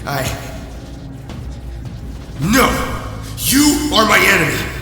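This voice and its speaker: A man's voice: sits high, big pitch swings, very slow, exaggerated voice. A man playing an arrogant, menacing young armored villain, throwing taunts and threats in an audio drama. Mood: torn, furious. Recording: echoey, distant, loud music underneath.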